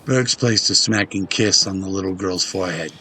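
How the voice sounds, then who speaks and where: A man's voice: deep voice, normal speed, sharp tone, soft background music. A man reading scripted narration for an audio drama.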